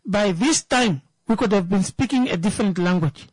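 A woman's voice: medium pitch, expressive, normal speed, darkish tone, dry recording. A woman, giving an emphatic opinion talk that turns into a personal story, repeating words for stress.

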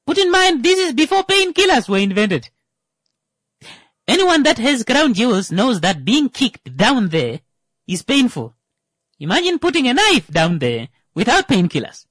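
A man's voice: extremely high pitch, sing-song, unhurried, a bit bright, no echo. A man hosting a radio talk show, joking and rambling with many fillers. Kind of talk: comedic monologue.

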